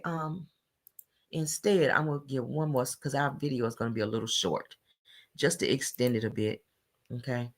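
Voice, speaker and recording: A woman doing casual, blunt reality-TV gossip commentary for her viewers, her voice deepened by allergies. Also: fairly bright tone. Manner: blunt, slangy, talks straight to viewers.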